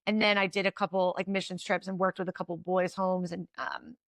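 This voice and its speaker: A woman in her thirties from Connecticut with a New England accent and a raspy voice, talking quickly as a guest in a casual interview. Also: lowish voice, little pitch movement, no room sound. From Connecticut, USA. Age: 30s. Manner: fast-talking, says "like" often. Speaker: podcast guest.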